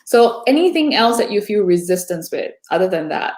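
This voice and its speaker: A woman coaching a client, speaking calmly and reassuringly. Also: mid-range voice, echoey room. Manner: calm, reassuring, slows down for meditation. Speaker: intuition coach. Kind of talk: coaching session and guided meditation.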